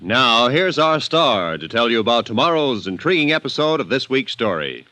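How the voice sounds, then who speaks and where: A man's voice: mid-range voice, very animated, moderate pace, sharp tone. A man announcing a vintage radio drama in a formal broadcast style. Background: music.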